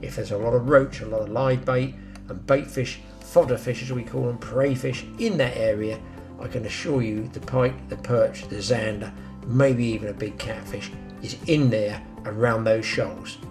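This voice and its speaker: An older man talks through fishing tips in a practical, chatty way, addressing viewers directly. He is recorded outdoors by a pond on a camera mic, sometimes from a little further off. Music plays clearly underneath. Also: mid-range voice, fairly even intonation, normal speed. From England. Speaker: fishing show host. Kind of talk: instructional outdoor fishing talk. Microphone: camera mic, some lines from further off.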